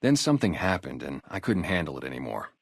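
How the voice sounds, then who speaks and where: A low-pitched, streetwise male sniper from a post-apocalyptic video game. He is a guarded, loyal former gang member turned town guard. His casual talk moves freely up and down, recorded close and dry. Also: very animated, fast, vocal fry, no echo, exaggerated voice. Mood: bitter, reflective. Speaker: security guard and former soldier. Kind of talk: video game character dialogue, naturalistic.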